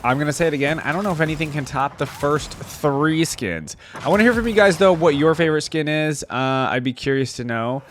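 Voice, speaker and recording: A man's voice: fairly high pitch, lively intonation, a little fast, few pauses, a little hiss or hum. A man giving casual, enthusiastic reaction commentary as a gaming video host, full of exclamations and slangy phrasing.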